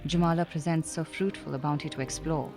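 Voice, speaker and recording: An actress playing a poised, enigmatic highborn amateur scientist, formal and courteous, in an audio drama. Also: female voice, steady tone, fairly quick, music in the background. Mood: courteous, curious.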